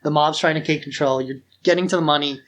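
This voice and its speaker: A man's voice: on the high side, steady tone, fast, fairly bright tone, lo-fi sound. A man co-hosting a podcast, chatting casually about film in an informal, enthusiastic way. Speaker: podcast co-host.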